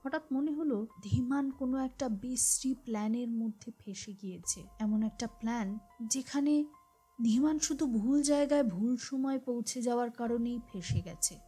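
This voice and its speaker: A woman narrating a scripted detective suspense story in a measured, dramatic storytelling manner, with phrases that drop to a hush at the end. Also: on the high side. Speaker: narrator of an audio story podcast. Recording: voice over a music bed. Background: music.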